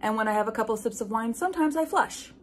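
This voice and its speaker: A woman in her twenties speaking casually as a YouTube host, with wry, animated delivery as she chats or reads aloud. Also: a little high, fast. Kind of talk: casual chat and reading fan fiction aloud with asides. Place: small room at home with bookshelves.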